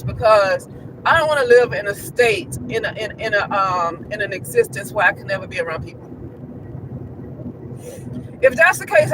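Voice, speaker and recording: Man vlogger with a very high voice, rambling and repetitive, loud street traffic behind. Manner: repetitive, restarts often, rhetorical questions. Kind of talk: casual rambling monologue.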